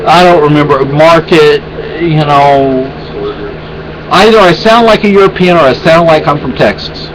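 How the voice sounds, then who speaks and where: A man's voice: fairly high pitch, moderate pace, crowd noise, rough recording. A man venting in a rambling, exasperated way in a casual home vlog, with many restarts. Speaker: vlogger.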